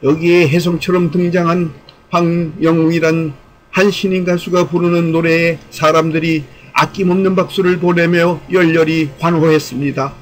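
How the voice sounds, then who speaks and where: A man reading a scripted commentary as narrator, in formal, measured phrasing. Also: fairly high pitch, flat delivery, low-quality recording.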